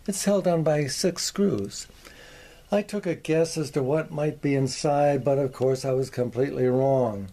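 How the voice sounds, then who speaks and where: A man hosting a workshop video, presenting and explaining in a measured, orderly way. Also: fairly high pitch, mellow. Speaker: host of a workshop video on antique radios.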